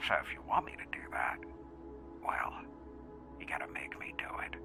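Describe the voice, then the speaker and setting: A man's voice: normal speed, sharp tone, in a whisper, soft background music. A man playing a world-weary, defiant old fighter with a folksy, taunting manner in an audio drama. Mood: cocky, defiant. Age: older.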